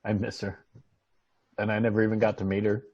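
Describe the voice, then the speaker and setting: A man playing a troubled, low-voiced former doctor, husky. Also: flat delivery, a little mellow, highs cut off. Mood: shaken, wistful. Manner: halting, stammering, understated. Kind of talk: dramatic table read.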